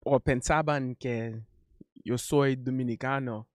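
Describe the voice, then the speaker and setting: A Haitian man speaking Spanish as a learner, chatting casually on a stream, halting and correcting himself often. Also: medium pitch, steady tone, dry recording. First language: not Spanish. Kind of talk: casual chat.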